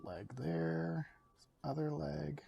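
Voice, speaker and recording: A Canadian man teaching an art lesson from his home studio, thinking aloud and filling pauses as he draws. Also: on the high side, vocal fry, dull audio, slight background noise. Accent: Canadian English. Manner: relaxed, thinks aloud with fillers while drawing. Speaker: art educator. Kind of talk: casual art demonstration. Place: home studio, overhead camera on a table.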